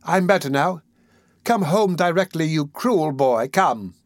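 A man's voice: a little high, expressive, measured pace, no echo, clean studio sound, voice pushed up. A man voicing a hysterical, scheming young woman who laments and gushes with feigned distress in a storybook. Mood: scolding. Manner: gushing, melodramatic lamenting.

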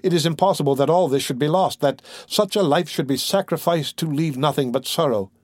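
Male narrator as a bereaved young woman, raised pitch, impassioned, pleading, devoted, audiobook drama, dry sound. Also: fairly quick, darkish tone, dry recording, lightly acted. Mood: mournful pleading. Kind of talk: dramatic audiobook character reading.

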